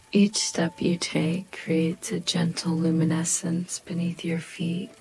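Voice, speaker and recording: Hushed, low-pitched woman narrating sleep meditation. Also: creaky, rough recording. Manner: gentle, soothing, hushed.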